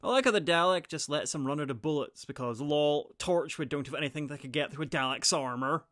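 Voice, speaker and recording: A man's voice: on the high side, a little fast, noisy background. Sarcastic man recapping an episode. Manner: sarcastic, swearing, mocking. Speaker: TV episode reviewer. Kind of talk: comedic recap commentary.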